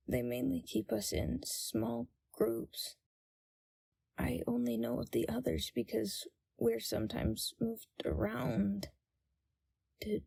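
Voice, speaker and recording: A woman playing a timid, frightened young captive in an audio roleplay, speaking in a hesitant, hushed and halting way. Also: fairly high pitch, creaky, slightly rough, full character voice. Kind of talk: scripted audio roleplay, ASMR.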